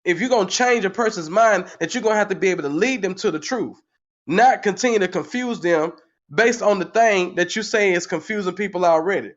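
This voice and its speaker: A man's voice: high voice, a little fast, muffled recording. A man in his forties hosting a heated commentary stream, repeating himself and firing rhetorical questions at the chat. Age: 40s. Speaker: channel host. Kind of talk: livestream commentary, reading the chat.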